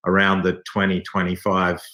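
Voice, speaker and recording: A man with an Australian accent answering interview questions in a thoughtful, explanatory way, pausing as he finds his words. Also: low voice, completely flat delivery, pushed and bright. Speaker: interview guest from a theatre company. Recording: echoey room sound.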